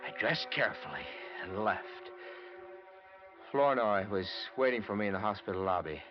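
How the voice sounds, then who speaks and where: A man's voice: lowish voice, animated, normal speed, music in the background, close to an everyday voice. A man playing a tough reporter who narrates his own story in a 1940s radio drama. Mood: grim. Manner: hard-boiled first-person narration. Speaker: narrator and lead character. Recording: muffled old radio broadcast.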